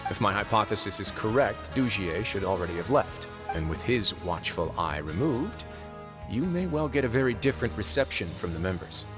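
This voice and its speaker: A man playing a composed, calculating authority figure in an anime game, speaking in a measured, formal, explanatory way. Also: on the low side.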